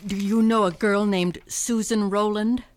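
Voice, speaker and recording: An actress playing an anguished, determined middle-aged wife and mother, halting and intense, in a radio mystery drama. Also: mid-range voice, steady tone, natural voice. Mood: urgent, pleading.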